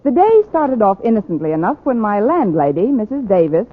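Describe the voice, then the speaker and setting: An actress playing a wry, wisecracking schoolteacher with a dry, sardonic delivery in a 1940s radio comedy. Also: female voice, mid-range voice, very animated, fairly quick. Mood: wry narration. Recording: tinny, hissy old radio broadcast.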